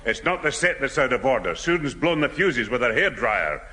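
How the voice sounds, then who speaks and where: A man's voice: mid-range voice, very animated, moderate pace, natural voice. An actor playing a long-suffering, older grandfather who grumbles and scolds in a 1970 radio sitcom. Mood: scolding, grumbling. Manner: grumbling, admonishing. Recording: very noisy old radio recording, echoey hall.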